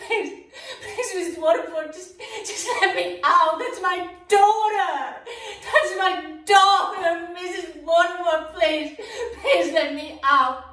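A sobbing desperate mother, very high voice, slow broken pleading, bright and shrill, roomy far-off sound. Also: lively intonation, exaggerated voice. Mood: tearful pleading. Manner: pleading, breaks into raw cursing fury. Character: desperate young woman begging for her daughter. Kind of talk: screen drama acting.

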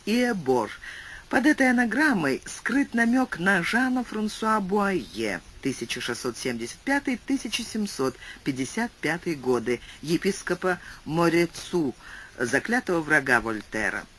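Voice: measured pace, slightly rough. An audiobook narrator giving a scripted literary reading and reading explanatory footnotes aloud. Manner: animated reading with wide pitch swings.

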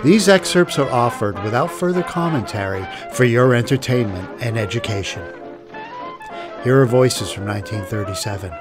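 A man's voice: mid-range voice, animated, normal speed. A man reading scripted narration as a podcast host. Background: music.

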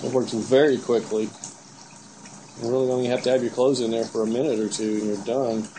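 A man's voice: medium pitch, flat delivery, brisk, mellow, slight background noise, low-quality recording. A man casually narrating a video he is filming in his home kitchen, explaining each step and laughing a little now and then. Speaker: channel host filming a demo. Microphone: handheld camera mic.

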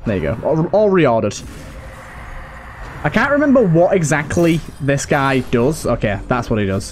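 A man's voice: on the high side, a little mellow, a little hiss or hum. A man giving casual let's play commentary in an anxious, exasperated way, often repeating himself. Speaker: gaming YouTuber and host. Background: game music.

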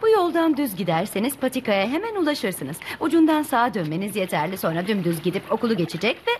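A woman's voice: mid-range voice, very animated, quick pace, slight background noise, natural voice. A woman voicing a helpful, chatty village woman in a dubbed children's cartoon. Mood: cheerful. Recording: music behind the voice.

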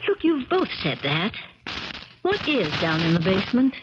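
Animated, earnest young niece played by an actress. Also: female voice, medium pitch, moderate pace, lightly acted. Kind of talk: 1970s radio drama acting. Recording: dull crackly 1970s radio recording.

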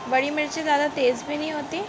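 A woman's voice: sits high, fairly even intonation, fast talker, over music. A woman hosting a home cooking video, chatty and homely, talking viewers through each step in a home kitchen. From Pakistan. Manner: homely and chatty, keeps saying "see" to viewers. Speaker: home cooking channel host. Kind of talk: step-by-step recipe voice-over. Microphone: phone or camera filming a countertop from above. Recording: noisy home phone recording.

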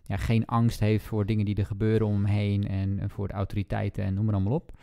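A man's voice: lowish voice, completely flat delivery, fast talker, creaky, dark tone. A man chatting casually as a podcast co-host, retelling a story and acting out bits of dialogue.

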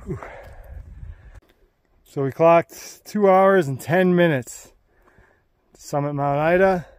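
A man's voice: on the high side, takes it slowly, clean tone, dry recording. A middle-aged man filming his own mountain hike outdoors on a phone, giving short, matter-of-fact updates on time, distance and elevation. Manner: terse, matter-of-fact, clipped status remarks. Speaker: hiker filming his climb. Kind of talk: casual trail vlog updates. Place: outdoors on a high mountain trail. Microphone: handheld phone camera. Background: faint wind.

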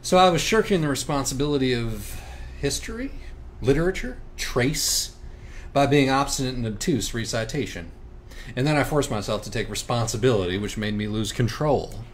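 A man's voice: mid-range voice, moderate pace, a little hiss or hum, natural voice. A man playing an anguished, self-absorbed young writer in audiobook dialogue, wordy and sardonic, circling through evasive self-questioning. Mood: brooding.

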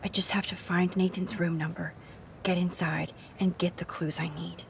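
An actress voicing a caring young woman student in a video game, soft-spoken and low-key. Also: little pitch movement, normal speed, vocal fry, slight background noise.

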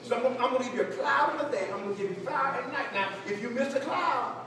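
Echoey, high-pitched male pastor teaching. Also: animated, fast. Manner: emphatic, repeats phrases, seeks responses. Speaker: pastor leading a church workshop. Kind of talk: preaching-style teaching to a live group. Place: church meeting room with seated audience. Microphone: distant camera among the audience. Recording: echoey hall, distant mic, noisy room.

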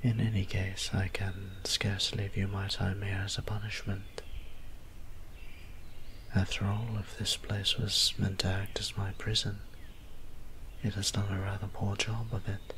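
A man voicing a gentle, shy, weary young vampire priest in a soft-spoken ASMR roleplay, breathy and whispered, with polite, formal, hesitant phrasing. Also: sits low, completely flat delivery, a little slow, slight background noise. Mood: musing, wistful.

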